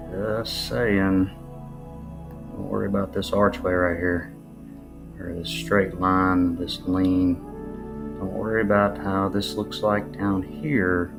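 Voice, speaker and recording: A man giving casual, unscripted commentary in a musing, halting way, often posing rhetorical questions. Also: lowish voice, creaky. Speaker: video narrator. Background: new-age music.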